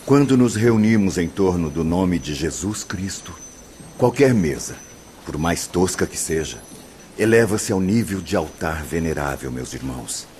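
Alceste, deep, solemn spirit guide. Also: male voice, expressive, unhurried, a little mellow, a little hiss or hum. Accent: Brazilian. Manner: formal, didactic. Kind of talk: Brazilian radio drama acting.

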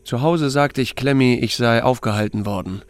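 Male audiobook narrator, mid-pitched, dry and understated first-person storytelling, noticeable background noise. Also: moderate pace. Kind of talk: scripted narration with acted dialogue.

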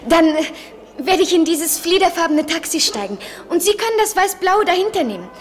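A woman's voice: very high-pitched, expressive, brisk. A woman playing an eager, dreamy student in her twenties in a poetic, theatrical German radio play style. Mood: eager, wistful. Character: Jennifer, eager, dreamy 23-year-old American student in love.

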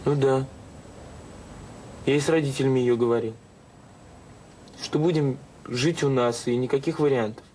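An actor playing a caring, attentive young adult son in a Soviet audio drama. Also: male voice, fairly even intonation, moderate pace, pauses often, dark tone, close to an everyday voice. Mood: reassuring. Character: caring, attentive grown son about to marry. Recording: faintly noisy old recording with rumble.

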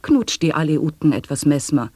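An actor in a 1980s radio play, chanting sound poetry and wordplay in a slow, hushed way. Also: male voice, fairly high pitch, very animated, no echo. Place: dry radio studio.

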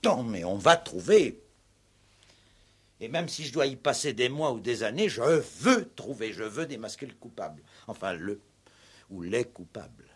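An actor playing an indignant, determined village police commissioner in an emphatic, authoritative style of 1960s French radio drama. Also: male voice, medium pitch, sing-song, unhurried, slightly rough. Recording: old 1960s radio play recording.

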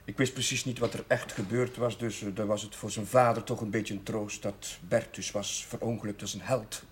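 A man's voice: on the low side, little pitch movement, moderate pace, natural voice. An actor as an earnest male chaplain, faint background noise. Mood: subdued. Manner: grave, admonishing. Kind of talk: television drama acting.

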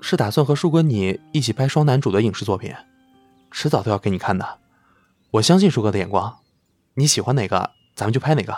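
Eager young man, devoted fanboy, acted, soft music. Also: lowish voice, animated, quick pace, dry recording. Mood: earnest, admiring. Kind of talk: audio drama acting.

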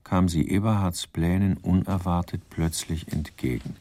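An actor as the composed narrator of a radio crime play, reading literary prose in a measured, formal manner. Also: male voice, low voice, fairly even intonation, mellow, close to an everyday voice. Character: composed male storyteller of a crime tale.

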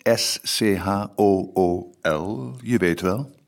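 A man's voice: low-pitched, slow pace, creaky, exaggerated voice. An actor playing a middle-aged police inspector father in a Dutch radio play, dry and teasing, with a Netherlandic accent. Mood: firm, teasing. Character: good-natured teasing police inspector father of two boys. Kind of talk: radio drama acting.

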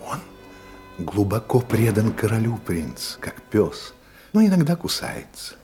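An actor in a radio play playing a smooth, secretive, devout conspirator at court, speaking theatrically with solemn oaths and hushed urging. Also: male voice, lowish voice, very animated, unhurried, soft background music. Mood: conspiratorial.

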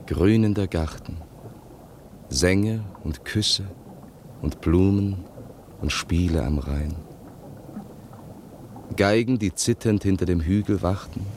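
An actor playing a composed, literate male companion in a radio drama, with a measured, lyrical, erudite delivery. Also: deep voice, darkish tone. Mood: lyrical, tender.

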